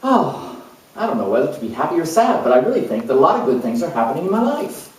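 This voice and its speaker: A man acting out a gushing, dreamy, soft-hearted volunteer who rambles on with lots of "you know", recorded with the mic far off in an echoey room. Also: a little high, big pitch swings, quick pace.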